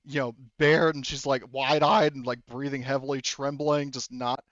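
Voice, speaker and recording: A man narrating a tabletop game as game master in a casual, halting, rambling way full of verbal tics. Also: medium pitch, level tone, fairly bright tone, no room sound, highs cut off.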